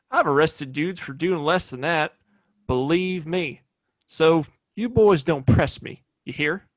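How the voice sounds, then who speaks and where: A man's voice: on the high side, slow, no room sound. A man acting a swaggering, menacing American small-town sheriff in a Western audio play, with a smug, domineering, folksy way of talking. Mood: threatening.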